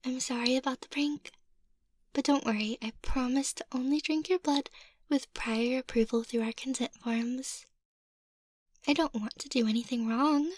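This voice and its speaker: A woman voicing a playful, excitable young vampire mistress with a childlike, eager manner in an ASMR roleplay. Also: sits high, normal speed, no room sound. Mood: apologetic, sweet.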